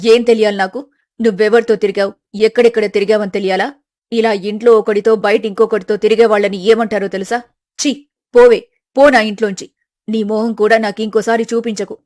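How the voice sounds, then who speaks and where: A woman's voice: medium pitch, level tone, a little fast, a little mellow, close to an everyday voice. Lady doing an angry middle aged mom from a family drama story, harsh, bitter, scolding hard. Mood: furious, scornful. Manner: harsh, accusatory, emphatic.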